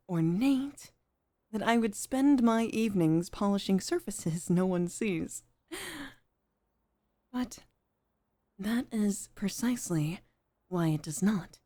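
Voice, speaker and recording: A woman playing a poised, gentle head maid off duty in an intimate ASMR roleplay, speaking with formal, measured politeness. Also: mid-range voice.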